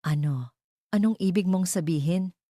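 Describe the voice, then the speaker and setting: A woman's voice: lowish voice, moderate pace, dark tone, no room sound, studio quality, natural voice. A woman voicing a remorseful man who pleads earnestly with his love in a dramatic love story. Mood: stunned.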